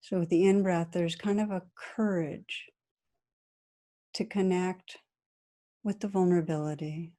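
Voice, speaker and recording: A woman meditation teacher guiding a practice in a gentle, soothing, unhurried manner with long pauses between phrases. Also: fairly low pitch, steady tone. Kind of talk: guided meditation.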